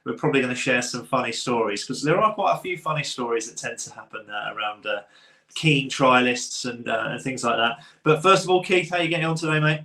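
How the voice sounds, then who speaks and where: A man's voice: mid-range voice, a little fast, sharp tone. Chatty man in a roomy space. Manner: chatty, loose, laughs often. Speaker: podcast co-host. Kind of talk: casual podcast chat. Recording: roomy echo.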